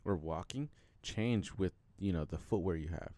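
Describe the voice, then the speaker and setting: A man's voice: low voice, normal speed, mellow, no echo. A man co-hosting a podcast, casually explaining things in an easygoing conversational way. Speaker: podcast co-host.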